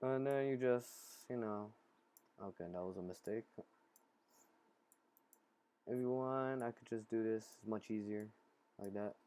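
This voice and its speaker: A man casually talking through a software tutorial in a halting, improvised way, step by step. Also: lowish voice, clear, resonant tone. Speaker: tutorial host.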